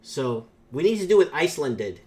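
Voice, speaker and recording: A man's voice: fairly high pitch, very animated, a little fast, darkish tone. A man whose first language is Portuguese, hosting his own channel and rambling through opinionated commentary on viewer comments, with many fillers and restarts. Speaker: YouTube channel host.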